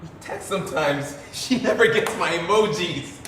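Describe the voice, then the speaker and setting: A man's voice: a little high, normal speed, a bit bright, close to an everyday voice. A man playing a cocky, flippant younger brother and heir in a stage play, with projected wisecracks. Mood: evasive. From Tanzania. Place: theatre stage, picked up from a distance. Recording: echoey theatre, distant mic, faint noise.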